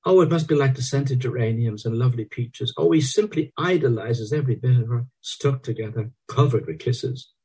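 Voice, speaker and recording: A man's voice: mid-range voice, brisk, hardly any pauses, a little mellow, slightly rough, recorded from a distance, pitched above the performer's own voice. A man voicing a dreamy young barmaid gushing in a swooning way.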